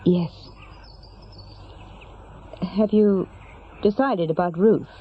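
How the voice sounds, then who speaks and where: A woman playing a familiar, gently chiding friend with an English accent in a radio drama, chatty and probing. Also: lowish voice, very slow, breathy edge, exaggerated voice. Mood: tentative. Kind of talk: naturalistic British radio drama acting. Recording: muffled radio drama recording.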